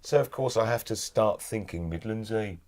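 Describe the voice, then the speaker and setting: A British man talking as an interview guest, with measured, thoughtful and emphatic phrasing. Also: on the low side, a little mellow. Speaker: guest, actor and audiobook narrator. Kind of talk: casual interview chat.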